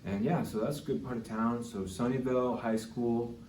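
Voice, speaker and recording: A young adult man hosting a podcast in a casual, enthusiastic interview chat, asking questions and reacting with excitement. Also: medium pitch, normal speed, recorded from a distance. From Bay Area, California. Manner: enthusiastic, exclaiming. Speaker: podcast host. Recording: echoey room with background noise.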